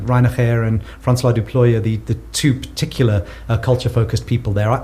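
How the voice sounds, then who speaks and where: A man's voice: medium pitch, a little mellow. A South African man answering interview questions in a thoughtful way, often repeating words as he builds a point. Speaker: guest researcher. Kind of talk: conversational podcast interview.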